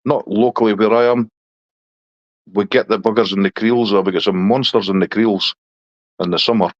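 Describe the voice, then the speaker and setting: A man's voice: lowish voice, steady tone, moderate pace, dry recording, highs cut off. A middle-aged man from Scotland with a Scottish accent, chatting casually on a live stream and answering viewers' comments.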